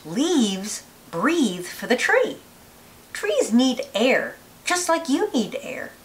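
Female storybook reader, animated and unhurried, deliberate expressive phrasing for young children, slightly hissy recording. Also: a little high. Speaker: read-aloud narrator, teacher. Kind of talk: children's picture book read-aloud.

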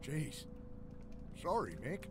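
Kindly old homeless fella in a game, high voice all over the place, exclaims a lot. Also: male voice, sing-song, slow, noisy background, heavily acted. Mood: sympathetic. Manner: sympathetic, exclamatory. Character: kindly, surprised older homeless man. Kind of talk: game character dialogue. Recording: music behind.

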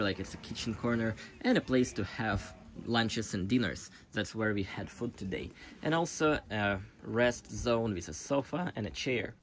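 A Russian-accented man in his twenties talks in a relaxed, chatty vlog manner. His voice sits a little low, and his phrasing sometimes pauses and restarts as he searches for words. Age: 20s. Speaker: vlogger giving a house tour. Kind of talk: casual vlog narration. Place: outdoor garden plot. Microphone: phone at arm's length.

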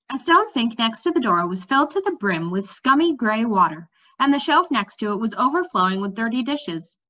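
Young woman, bright voice, animated storybook narration, slightly dull home webcam sound. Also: fairly high pitch, moderate pace. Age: young adult. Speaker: reader. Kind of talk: storybook read-aloud narration with lively dialogue.

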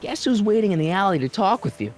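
An actor playing an earnest, quick-witted teenage boy with an American accent and a casual, slangy delivery in a video game. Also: high voice, big pitch swings, soft background music. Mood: upbeat.

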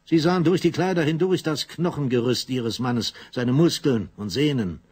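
A man narrating a scripted German radio drama in a measured, literary storytelling manner. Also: medium pitch, a little mellow, husky.

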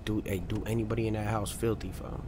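A man streaming an online role-play game, bantering casually in excitable, profane slang. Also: fairly low pitch, quick pace, noisy background. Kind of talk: casual banter while gaming.

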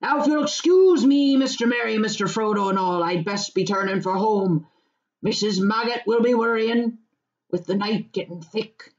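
A woman's voice: mid-range voice, moderate pace, natural voice. A woman voicing a blunt old farmer. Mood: gruff. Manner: rustic country speech, bossy. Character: gruff old male hobbit farmer, blunt. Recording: slightly dull lo-fi audio.

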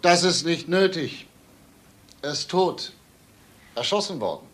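An actor playing a genial, unflappable police inspector in a crime drama, asking brisk, clipped questions. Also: male voice, a little high, animated, a bit bright. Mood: sober, probing. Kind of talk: German TV crime drama dialogue.

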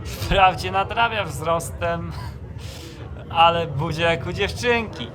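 A man playing a gruff, teasing barman in a seedy dive for a radio drama, talking colloquially. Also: fairly high pitch, slow pace. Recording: bar crowd noise around the voice.

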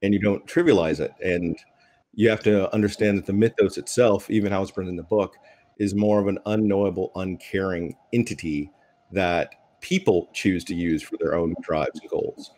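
A man with a deep, low voice speaks as a panelist. His delivery is thoughtful and conversational, recorded on a video call. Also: moderate pace, a little mellow. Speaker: panelist, game designer. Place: indoor room at home.

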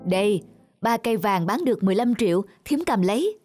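Calm, kindly middle-aged elder brother. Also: male voice, sits high, fairly quick, faint music behind. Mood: helpful. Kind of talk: animated moral tale dialogue.

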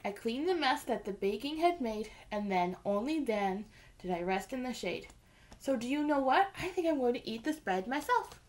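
Lady doing a little red hen, hardworking, fed up, slightly high storybook voice. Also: big pitch swings, moderate pace, a little mellow. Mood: indignant. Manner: earnest, pleading then exasperated.

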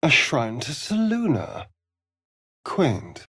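A vain male vampire from a fantasy video game. His voice is breathy and husky, swooping dramatically between arch flourishes and murmured asides. He drawls his words at an unhurried pace, flirtatious and sardonic throughout. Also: mid-range voice, huge pitch swings, a bit bright, dry recording, clean studio sound, exaggerated voice. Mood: wry.

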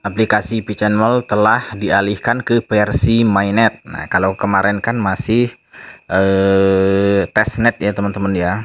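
A man's voice: lowish voice, flat delivery, unhurried, fairly bright tone. A man vlogging casually, reading social media posts aloud and explaining them with many filler phrases, voiced over a screen recording. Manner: repeats "ya teman-teman ya" often, reads posts aloud then explains. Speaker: crypto news vlogger. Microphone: phone mic, voice-over on screen recording.

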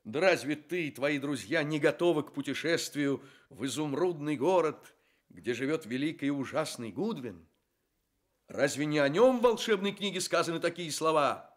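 An actor playing a courteous, playful storyteller with theatrical, drawn-out emphasis in a children's radio play. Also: male voice, a little high, animated, lightly acted. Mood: soothing, grand. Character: courteous, playful male storyteller addressing fairy-tale characters. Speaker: storyteller and host.

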